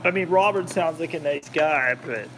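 A man's voice: a little high, moderate pace, noisy background. A man rambling and joking with his live chat while cooking in his home kitchen. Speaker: cooking vlogger. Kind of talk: casual cooking livestream chat.

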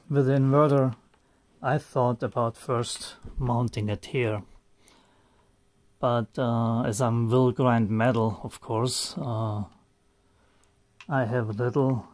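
Guy rambling slow about his build in his workshop, lots of ums and restarts. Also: medium pitch, darkish tone. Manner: halting, thinks aloud with many fillers and restarts. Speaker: DIY maker showing his build. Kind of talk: unscripted workshop walkthrough. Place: home workshop.

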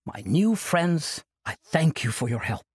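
A man's voice: fairly high pitch, very animated, a little slow, slightly rough, exaggerated voice. A man voicing an eccentric, cheerful old monk with a sing-song, scatterbrained manner. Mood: jovial.

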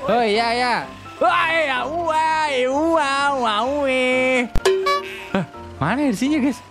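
High-pitched excitable man gaming. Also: slow, over loud music. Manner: exclamatory, slangy, repeats words. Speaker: gaming video creator and host. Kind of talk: excitable game roleplay commentary.

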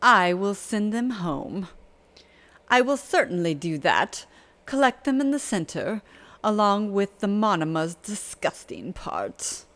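Guy voicing a cruel old queen, shrill and bossy, swoopy high voice, takes her time. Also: very animated, slow pace, a bit bright, exaggerated voice. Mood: commanding. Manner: shrill and commanding.

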